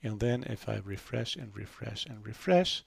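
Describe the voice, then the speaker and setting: A man narrating a screen-recorded software demo in a relaxed, unscripted way, full of fillers and restarts. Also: fairly low pitch, moderate pace, gravelly, breathy edge, no echo. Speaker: software developer giving a demo.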